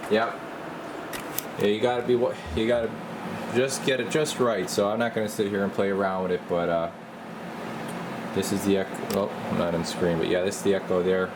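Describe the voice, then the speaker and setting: A man's voice: lowish voice, flat delivery, a little fast, background noise. A man giving a casual hands-on demonstration as a radio technician, muttering informally as he works in a room. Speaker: radio repair technician demonstrating his work. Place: indoor radio workbench.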